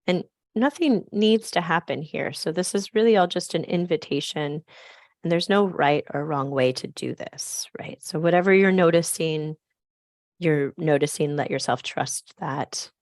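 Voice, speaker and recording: A woman with a scratchy, raspy voice, softly leading a slow grounding meditation on a video call. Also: low voice, no room sound, studio quality. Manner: soft, slow, gently inviting. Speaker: program facilitator.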